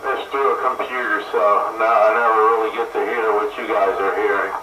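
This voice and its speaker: A man's voice: fairly low pitch, fairly even intonation, a little fast, sharp tone, faint music behind. An American man making casual radio small talk as a CB operator calling from his vehicle. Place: moving vehicle. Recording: distant, roomy, noisy CB radio transmission.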